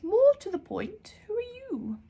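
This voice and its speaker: A woman voicing a loud, haughty and eccentric older woman librarian of magic in a storybook, with a booming, brusque delivery. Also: big pitch swings, a little slow, heavily acted. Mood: imperious. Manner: brusque, haughty, slightly hard of hearing.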